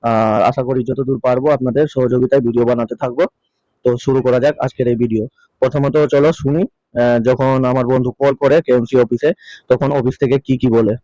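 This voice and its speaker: Fast-talking mellow man. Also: medium pitch, level tone, dry recording. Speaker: video host. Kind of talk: casual vlog update. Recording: dull, lo-fi close dry sound.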